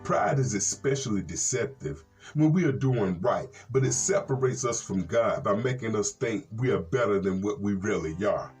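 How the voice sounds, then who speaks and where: An American man, a reverend hosting a Bible program, reads scripture and commentary in a measured, earnest way with folksy asides. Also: lowish voice, lively intonation, darkish tone. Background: plucked guitar music.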